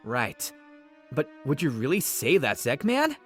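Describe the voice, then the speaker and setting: A man's voice: medium pitch, huge pitch swings, a little slow, fairly bright tone, faint music behind. A man voicing a confident young male hedgehog hero in a comic dub, with a breezy, teasing way of talking.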